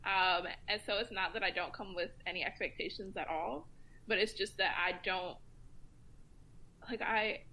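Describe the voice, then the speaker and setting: A woman speaking as a guest commentator in a casual round-table discussion, relaxed and chatty with many ums and likes. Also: mid-range voice, moderate pace, rough recording.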